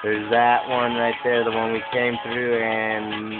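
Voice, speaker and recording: Tinny male gamer over loud music. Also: mid-range voice, completely flat delivery, takes it slowly. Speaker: video game map builder giving a tour. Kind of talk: casual walkthrough commentary. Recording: tinny phone-like sound, loud music behind.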